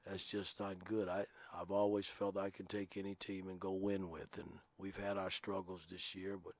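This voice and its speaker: A man in his sixties, a basketball coach answering postgame questions in a measured, plain-spoken, self-critical way at a table microphone in a press room. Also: sits low, dry recording. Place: press room with sponsor backdrop.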